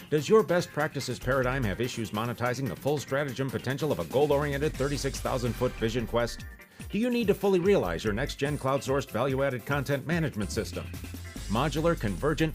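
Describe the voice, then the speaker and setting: Male actor as corporate salesman, medium pitch, very lively, slick patter, parody commercial, backing music. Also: lightly acted. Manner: salesman-like, fluent jargon patter. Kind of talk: mock advertisement pitch.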